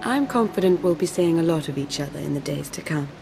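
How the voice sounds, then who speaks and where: A poised dark-side noblewoman from a sci-fi game. Her voice is fairly low, polite and composed, over clear background music. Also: lively intonation, quick pace. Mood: coolly amused. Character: poised, courteous female Sith lord, coolly confident. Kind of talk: video game voice acting.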